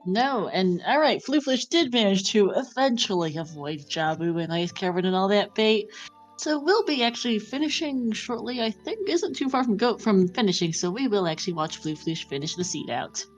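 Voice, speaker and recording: A woman commentating a race stream in a relaxed, chatty way. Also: mid-range voice, moderate pace, soft background music, low-quality recording.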